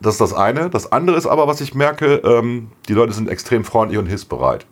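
A middle-aged man co-hosting a podcast, slightly hoarse, chatting casually and laughing often. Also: mid-range voice, lively intonation, brisk. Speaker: podcast co-host. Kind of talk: casual chat.

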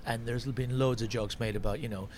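A man's voice: mid-range voice, fairly even intonation, a little fast. A man who is a stand-up comedian, chatting on a podcast, thinking aloud with many restarts. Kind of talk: casual podcast chat. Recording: noisy background.

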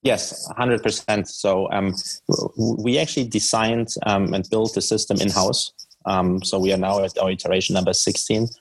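A man's voice: on the low side, fairly even intonation, moderate pace, husky, low-quality recording. A man from Germany with a German accent, answering conversationally as a guest in a podcast interview. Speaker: podcast guest, startup founder.

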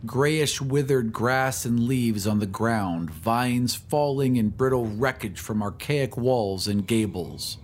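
A man narrates a horror story with measured, grave delivery at a medium pitch. His reading is literary and dramatic, a scripted storyteller's voice, with faint ambient noise behind it. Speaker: narrator of an audio fiction podcast.

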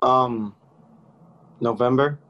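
A nineteen-year-old male musician talking casually in an interview, halting, with many fillers and restarts. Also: medium pitch, fairly bright tone, dull audio. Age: young adult. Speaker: musician guest.

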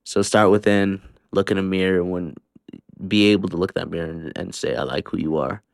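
A man's voice: low voice, fairly even intonation, brisk, vocal fry, no echo, studio quality. A young man hosting his own podcast alone, talking casually with lots of slang, swearing and filler words. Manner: laid-back, slangy and profane, with frequent fillers. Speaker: podcast host. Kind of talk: casual solo podcast monologue. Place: Utah, Salt Lake area.

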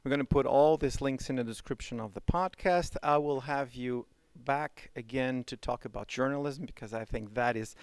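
A male podcast host speaks casually and a little haltingly, often restarting his sentences. His voice is smooth and clear at a middle pitch. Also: no room sound. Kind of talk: conversational interview.

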